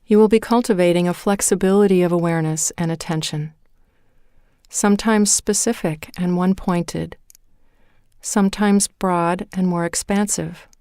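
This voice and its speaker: A woman leading a guided meditation in a calm, measured way, with deliberate pauses between phrases. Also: lowish voice, smooth, no echo, clean studio sound. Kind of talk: guided meditation, scripted narration.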